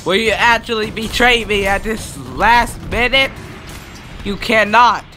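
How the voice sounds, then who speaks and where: A man's voice: sits high, fairly even intonation, pushed and bright. A young man on voice chat who hams it up with mock-dramatic lines while playing an online game. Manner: playful, mock-dramatic, slow and drawn out. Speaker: gamer on voice chat. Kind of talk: casual gaming chat. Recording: game music behind.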